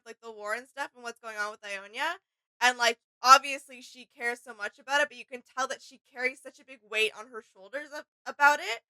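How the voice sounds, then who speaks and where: A woman co-hosting a casual reaction video, talking haltingly as she searches for her words. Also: high voice, sharp tone, no room sound, poor audio.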